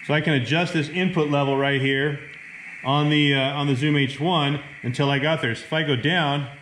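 A man's voice: fairly high pitch, fairly even intonation, moderate pace, fairly bright tone, rough recording. A middle-aged American man narrating a casual hands-on how-to as a hobby radio operator, speaking at a table indoors. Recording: clear background room noise.

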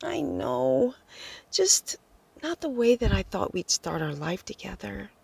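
A woman playing a teasing, good-natured newlywed bride in a radio drama, with a husky, creaky voice. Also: medium pitch, sing-song, unhurried, a little mellow, heavily acted. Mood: underwhelmed.

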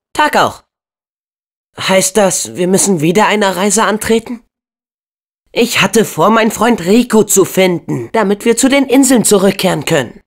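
A young man voicing an upbeat, brave and earnest teenage boy hero in a video game, with an expressive, youthful delivery. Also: high-pitched.

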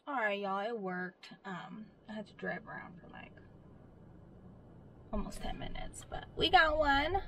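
A woman's voice: mid-range voice, animated, a little slow, slight background noise. A young woman chatting to viewers from her car while driving deliveries, in a casual, upbeat way. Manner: chatty, talks to viewers, brightens when greeting staff. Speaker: delivery driver vlogger. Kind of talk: casual vlog chat. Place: inside a car. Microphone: camera on the dashboard. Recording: music playing in a car.

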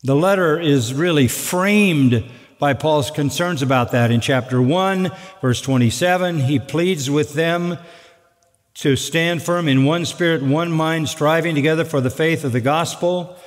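An older male pastor preaching from a pulpit, measured and earnest with dry asides. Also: a little high. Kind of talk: expository sermon. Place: large church sanctuary at a wooden pulpit. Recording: long reverb of a large sanctuary.

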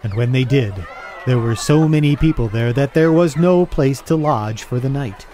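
A man narrating a scripted dramatic story in old radio style, earnest and deliberate. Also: medium pitch, dark tone.

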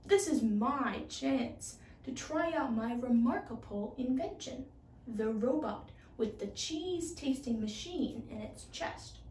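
A woman's voice: fairly high pitch, expressive, takes it slowly, a little mellow, a little hiss or hum. A teenage young woman voicing a bossy, scornful male inventor in a storybook. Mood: scornful, scheming. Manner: haughty, scornful. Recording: roomy, distant microphone.

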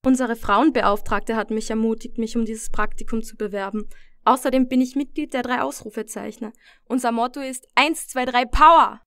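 A woman's voice: a little high, fairly even intonation, normal speed, a bit bright, dry recording, studio quality. A young woman playing a combative, self-assured intern detective in an audio drama parody, assertive and indignant.

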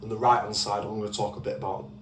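A British man talks casually in a low, fairly flat voice, explaining things informally as in a car vlog. The recording has clear background noise, like a handheld video made around vehicles. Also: very fast. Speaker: car restoration vlogger. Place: in a car, out on a street, and at a computer.

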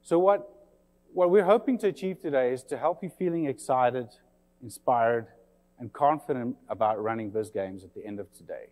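A man with a South African accent, slightly worn by years in England, presents a conference session in a friendly, chatty way. His voice sits at a middle pitch and rings with the long reverb of a hall. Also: moderate pace, a little mellow. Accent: South African, slightly English-tinged. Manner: friendly, chatty, with many fillers. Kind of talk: conference talk to an audience.

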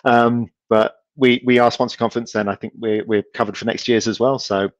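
A middle-aged man giving a casual conference Q&A from a home room over a video call, relaxed and hesitant, with many ums and restarts. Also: mid-range voice, fairly quick, no room sound, lo-fi sound. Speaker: company speaker answering audience questions.

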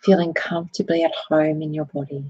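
Very husky, low-voiced woman guiding meditation. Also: flat delivery, no echo, highs cut off. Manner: soothing, gentle, unhurried phrasing. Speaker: meditation guide. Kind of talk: guided body scan meditation.

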